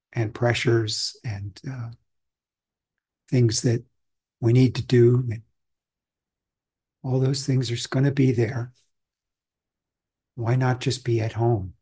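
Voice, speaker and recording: A man from Austria, a meditation teacher, giving a calm, reflective and conversational Zen dharma talk. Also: medium pitch, slightly rough.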